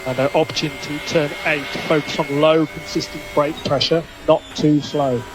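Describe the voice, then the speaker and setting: A man speaks over a noisy motor racing radio link as a race engineer. His delivery is clipped and measured, with short technical instructions that he often repeats. Vehicle and mechanical noise sits behind the voice. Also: on the high side, level tone. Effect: team radio.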